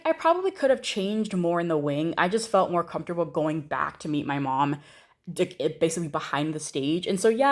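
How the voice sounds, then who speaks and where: Casting: young American woman, slightly low-pitched, chatty and informal, for a personal storytime monologue. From United States. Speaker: storyteller, video creator.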